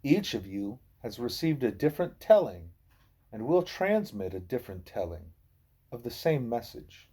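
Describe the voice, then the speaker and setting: Man voicing a mellow, deliberate old-school teacher gorilla. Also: mid-range voice, sing-song, husky. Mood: solemn. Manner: deliberate, formal, lecturing.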